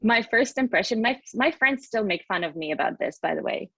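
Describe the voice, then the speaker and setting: A woman's voice: on the high side, lively intonation, brisk, creaky, sharp tone, husky, dry recording. A woman in her thirties with a Russian accent, telling her story casually and expressively as a podcast guest, in a chatty way. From Western Siberia, Russia.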